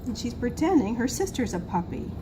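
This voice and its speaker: Storybook role: fancy, excitable little girl played by a woman, highly animated, emphatic, with clear background noise. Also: brisk. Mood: eager, emphatic. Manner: expressive, emphatic read-aloud delivery. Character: fancy, dramatic, excitable little girl narrator from a picture book. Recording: noisy room recording.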